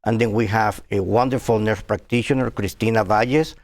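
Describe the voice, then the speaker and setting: A man's voice: lowish voice, steady tone, darkish tone. Older man, earnest physician. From Cuba. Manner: earnest, halting, many fillers and restarts. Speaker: physician guest. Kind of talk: TV interview.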